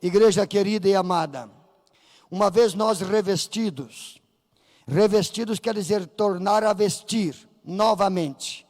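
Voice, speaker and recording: Brazilian male pastor, very high gravelly voice, fiery sermon delivery, reverberant church. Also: measured pace. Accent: Brazilian Portuguese. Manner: fervent preaching, rising to shouts, acting out voices in the story. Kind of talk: Pentecostal sermon. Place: church sanctuary.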